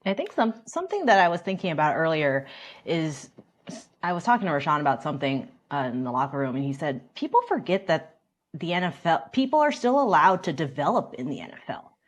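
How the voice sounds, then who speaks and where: A woman's voice: deep voice, big pitch swings, a little fast. A woman working as a sports reporter, chatting on a panel and thinking aloud in a conversational way. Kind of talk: casual sports panel talk.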